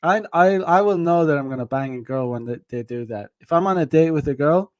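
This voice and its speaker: A man's voice: on the high side, a little fast, a little mellow, dry recording, highs cut off. A man giving dating advice on a casual stream, rambling with lots of fillers and frequent laughs. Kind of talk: casual rambling livestream talk.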